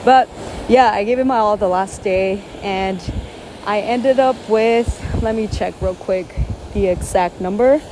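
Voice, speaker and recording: A woman's voice: medium pitch, a little slow, background noise. A woman whose first language is Spanish, vlogging outdoors at a beach in an earnest, chatty way with many fillers. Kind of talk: casual talk to camera. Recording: outdoor recording with loud waves. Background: waves.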